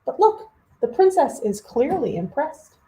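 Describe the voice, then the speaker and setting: A woman's voice: high voice, sing-song, unhurried, warm tone, voice pushed up, low-quality recording. A woman voicing lazy, entitled young brothers from a storybook, haughty and incredulous. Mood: indignant. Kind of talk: storybook character voice.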